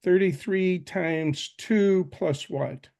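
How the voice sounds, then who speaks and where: A man in his seventies hosting a patient panel, speaking in a slow, halting way. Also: high voice, vocal fry, a little mellow. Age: 70s. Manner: slow and halting, with restarts and fillers. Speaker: forum host. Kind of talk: moderating a video panel.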